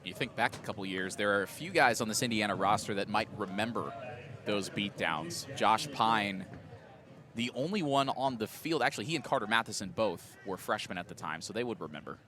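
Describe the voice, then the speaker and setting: Low-voiced male baseball color commentator. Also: lively intonation, brisk, sharp tone. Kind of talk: live baseball analysis commentary. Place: outdoors at a baseball stadium.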